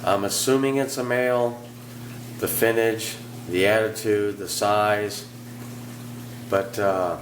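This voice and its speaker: A man with a hoarse, sick-sounding voice, casually narrating footage of his aquarium at home with fillers and restarts. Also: fairly low pitch, takes it slowly, long pauses, reverberant, a little hiss or hum, low-quality recording. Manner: relaxed, rambling, with fillers and restarts. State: hoarse from illness. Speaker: aquarium hobbyist channel host.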